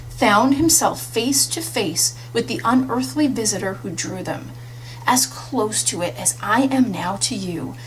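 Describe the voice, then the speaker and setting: High-voiced woman reading a literary story. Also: low-quality recording. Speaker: audiobook reader. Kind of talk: expressive scripted literary narration. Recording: audible hum and faint noise.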